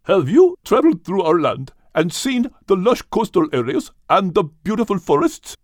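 Haughty, swooping, high-pitched turkey. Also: male voice, sing-song, normal speed, dry recording. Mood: grandly boastful. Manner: pompous, superior. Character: haughty, smug turkey couple, pompous bird.

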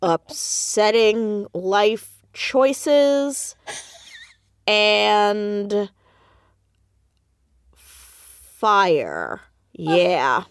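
A woman's voice: mid-range voice, very animated, extremely slow, pauses often, no echo, clean studio sound. A woman chatting casually as an interview guest, playful and wry in manner. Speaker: guest, audio drama creator.

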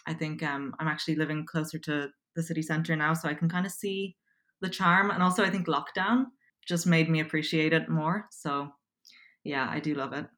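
A woman's voice: low-pitched, little pitch movement, moderate pace, a bit bright. A woman in her twenties with an Irish accent, chatting casually as an interview guest and filling her talk with likes and ums. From Poland. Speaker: guest, copywriter.

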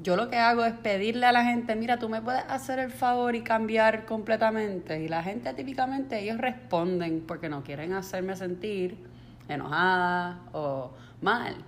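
A young woman from Puerto Rico with a Puerto Rican accent, telling stories in a casual, animated vlog monologue and dropping English phrases into her talk. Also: a little high, normal speed, a little hiss or hum. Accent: Puerto Rican Spanish. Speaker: vlogger.

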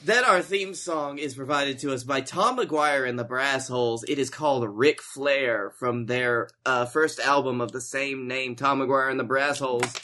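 A man's voice: medium pitch, measured pace, hardly any pauses, pushed and bright. A man co-hosting a podcast in casual, comedic conversation. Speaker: podcast co-host.

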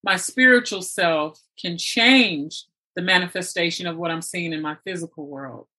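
A woman talking reflectively in conversation, thoughtful and sometimes halting as she searches for words. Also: fairly low pitch, sharp tone. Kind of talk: reflective conversational talk about spirituality.

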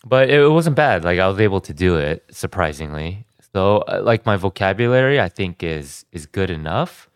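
A young American man with a very deep, smooth voice talks through his story in a loose, rambling way, restarting sentences as he goes. The sound is dry and close. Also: moderate pace, few pauses, no room sound. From United States. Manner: relaxed, rambling, frequent restarts and fillers. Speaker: podcast guest. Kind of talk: casual conversation.

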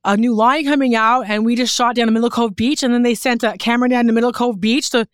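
Clear, higher-voiced Canadian woman, candid storyteller. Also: steady tone, quick pace, no room sound, studio quality. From Newfoundland, Canada. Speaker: guest, fashion photographer and creative director. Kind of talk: casual chat interview. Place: studio.

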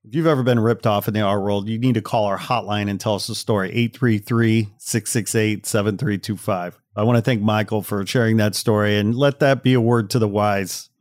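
A man's voice: fairly low pitch, fairly even intonation, fairly quick, hardly any pauses, no echo, studio quality. A man hosting a podcast in a casual, wry, conversational way, speaking straight to the listeners. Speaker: podcast host.

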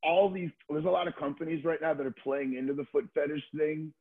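A man's voice: fairly high pitch, steady tone, fast talker, slightly rough, narrow, tinny audio. A middle-aged man talking casually as a podcast guest, telling stories in an informal, slangy way with swearing and plenty of filler words like "like" and "you know". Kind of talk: casual conversational storytelling.

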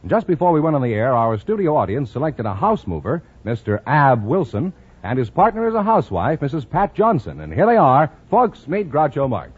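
An American man announces in 1940s radio style. His pitch swings in a lively way and his voice is a touch husky. The recording is old and narrow. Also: fairly quick.

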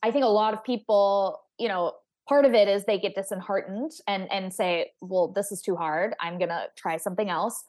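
A young woman from Texas talks openly as a podcast guest, outgoing and eager to chat. She is reflective and candid, and her voice sits at an ordinary middle pitch for a woman. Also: normal speed, hardly any pauses, darkish tone. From United States (Texas). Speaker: guest astrophysicist. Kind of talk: podcast interview conversation.

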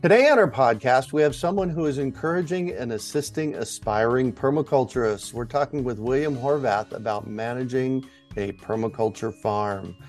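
A man's voice: mid-range voice, normal speed, few pauses, a little mellow, faint music behind. A man hosting an interview podcast, presenting scripted introductions and questions. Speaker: podcast host.

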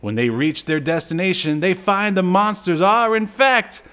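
A man co-hosting a podcast in casual film and TV chat, a native English speaker with a jokey, chuckling, halting delivery. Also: high voice, fairly bright tone, clean tone. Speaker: podcast co-host.

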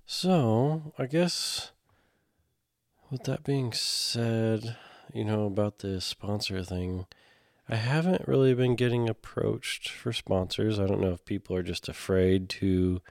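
A man hosts a podcast in relaxed, casual chat, often hesitant and trailing off with fillers. His voice sits very low, and the sound is dry and close to the mic. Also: slow, dry recording. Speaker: podcast host, mechanic.

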